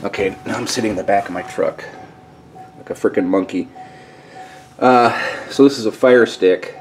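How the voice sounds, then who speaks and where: A man's voice: lowish voice, moderate pace. A middle-aged man giving a casual hands-on walkthrough in a folksy, colloquial way, recorded on a handheld camera. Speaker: DIY vlogger. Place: in and around a pickup truck at a garage. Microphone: handheld camera mic. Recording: noisy handheld camcorder audio.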